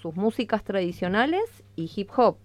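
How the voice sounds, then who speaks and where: An Argentine woman giving a conversational radio interview as a musician, explaining things in a reflective, halting way. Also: mid-range voice, animated. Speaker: interviewed hip-hop musician.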